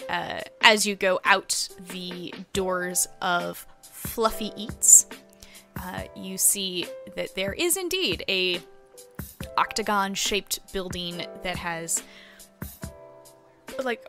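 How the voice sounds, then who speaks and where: A woman narrates a tabletop game as game master, making it up as she goes. Her voice is bright and swings expressively. Quiet music plays behind her. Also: medium pitch.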